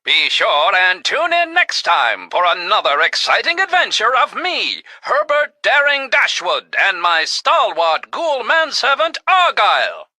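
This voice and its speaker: An actor playing a pompous, gallant adventurer in an old-time radio serial, with theatrical, grandiose delivery. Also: male voice, high voice, wildly swooping pitch, a little slow, few pauses, sharp tone, no room sound, faint music behind, full character voice.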